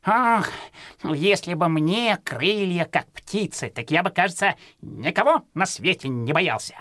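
A timid, chatty hare in an audio fairy tale. He frets and exclaims with very lively intonation. The recording is close and dry, with little room around the voice. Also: on the low side, a little slow, dry recording. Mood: fretful. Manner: fretful, exclaiming.

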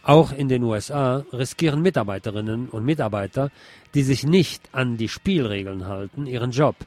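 A man's voice: mid-range voice, moderate pace, darkish tone, no echo. A man hosting a radio show, reading a news commentary aloud. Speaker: radio host.

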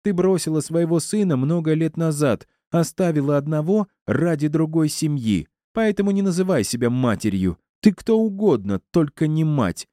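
A man's voice: mid-range voice, animated, slow pace, hardly any pauses, dark tone, no room sound, clean studio sound, voice pushed up. A man voicing a proud, indignant stepmother with a cutting manner in an audiobook story. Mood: scathing. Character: proud, indignant young stepmother fighting back.

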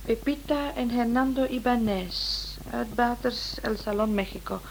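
Radio play: playful, quick-witted female sidekick, fairly high voice, light hiss from an old recording. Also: vocal fry, low-quality recording. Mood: musing.

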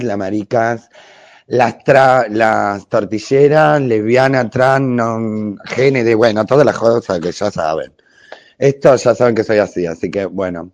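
A man with an Argentine Rioplatense accent making a casual activist announcement in a halting, colloquial, rambling way. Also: medium pitch, darkish tone. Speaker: LGBT activist.

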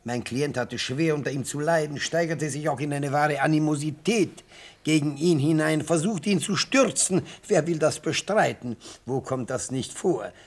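An actor playing an elderly, theatrical defence lawyer in a radio play, speaking in rhetorical, declamatory phrases with savoured pauses and a husky voice. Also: male voice, a little high, lightly acted. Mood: indignant pleading. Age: older. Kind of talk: radio play courtroom oratory. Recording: vintage radio play recording.

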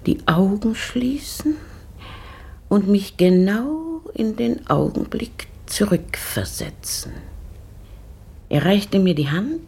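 An actress playing a woman lost in memories, speaking slowly and whispering to herself in a radio play. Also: medium pitch, sing-song, creaky, warm tone, slightly rough, heavily acted. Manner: slow, dreamy, whispering to herself. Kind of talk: radio drama monologue.

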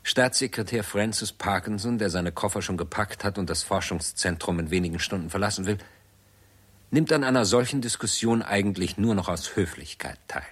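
Narrator, lowish husky voice, sober, documentary tone, scripted 1960s radio drama. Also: male voice, a little fast, a bit bright. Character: sober, documentary-like male narrator of a science fiction tale.

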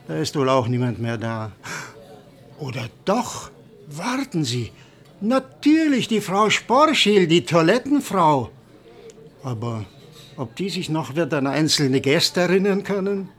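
A friendly café head waiter, chatty and helpful, voice sits a bit high. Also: male voice. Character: obliging male Viennese café head waiter. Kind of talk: radio play acting.

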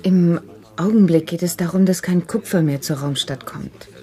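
An actress playing a determined, idealistic adult daughter in a 1970s radio drama. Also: female voice, fairly low pitch, moderate pace, warm tone, lightly acted. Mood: earnest, urgent. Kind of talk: 1970s German radio play acting.

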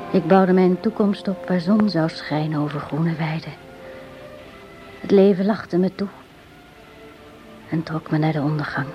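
Slow, tragic grieving woman, muffled recording. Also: low voice. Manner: solemn, hushed, drawn-out theatrical phrasing. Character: grieving devoted woman, brave, tragic, resigned. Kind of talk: radio drama acting. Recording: muffled old radio drama recording.

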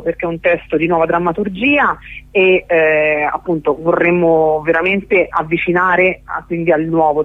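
A woman's voice: lowish voice, no room sound. A woman speaking as a festival organiser in a radio interview, explaining at length and often searching for words. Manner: hesitant, searching for words, long-winded. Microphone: telephone. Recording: narrowband telephone line on radio.

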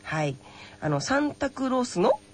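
High-pitched playful woman hosting radio. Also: very animated, normal speed, breathy edge. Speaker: radio show host. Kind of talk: casual chatty radio banter.

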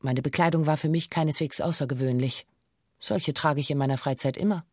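An actress playing a young former bank clerk who gives a formal, matter-of-fact witness statement in a radio drama. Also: female voice, low-pitched, fairly quick, darkish tone, no room sound, studio quality. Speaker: actor in a radio documentary drama. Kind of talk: scripted first-person testimony read aloud.

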